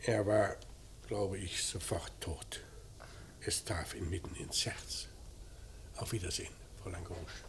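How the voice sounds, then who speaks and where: An actor playing a probing, businesslike police inspector in a mid-century radio crime drama, asking short, clipped questions. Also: male voice, deep voice, fairly even intonation, unhurried, pauses often, in a whisper. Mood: subdued. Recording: noisy old radio drama recording.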